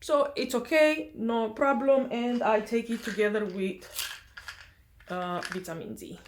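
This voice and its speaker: A woman's voice: on the high side, a little slow, a little hiss or hum. A young woman from Romania, chatting casually in a vlog, hesitant with many fillers and self-corrections. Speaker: vlogger.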